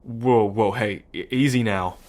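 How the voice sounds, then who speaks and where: A man's voice: on the low side, unhurried, darkish tone, lightly acted. A man playing a young, apologetic brother estranged from the mob, pleading and hesitant, in an audio roleplay. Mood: anxious pleading.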